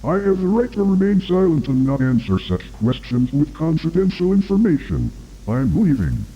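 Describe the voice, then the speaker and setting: A man's voice: on the high side, wildly swooping pitch, normal speed, husky, lo-fi sound, heavily acted. A man playing an evasive, guarded agency commander in a scripted horror interview, speaking formally. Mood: upset, defensive. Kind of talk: scripted analog horror dialogue.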